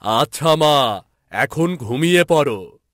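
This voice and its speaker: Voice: mid-range voice, animated, slow pace, fairly bright tone, no echo, studio quality. A man playing a worried, doting king and father in a fairy-tale cartoon. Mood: soothing.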